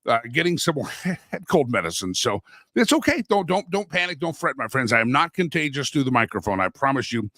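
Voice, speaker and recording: Folksy male talk host, congested from a cold, bright and crisp, dry studio sound. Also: medium pitch, sing-song, fairly quick, no echo. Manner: folksy, chatty, many restarts and asides. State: stuffy head cold, voice a bit sore. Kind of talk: casual political talk show monologue. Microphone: studio microphone.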